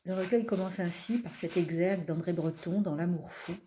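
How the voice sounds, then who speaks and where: A woman poet reading her own poems aloud in a calm, measured way, pausing between phrases. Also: lowish voice, a little hiss or hum. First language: not French. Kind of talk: slow poetry reading.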